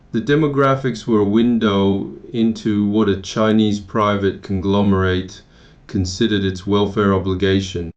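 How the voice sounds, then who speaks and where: A man from Taiwan narrating his own memoir in a measured, matter-of-fact way, with occasional restarts. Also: lowish voice, lo-fi sound. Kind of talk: first-person memoir narration.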